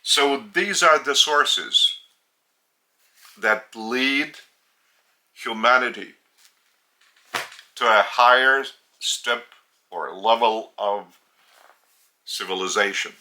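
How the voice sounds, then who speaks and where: Lithuanian guy giving a spiritual talk, really sharp bright voice, slow and halting, bit by bit. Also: medium pitch. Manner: deliberate, halting short phrases. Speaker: spiritual teacher. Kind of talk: religious teaching talk.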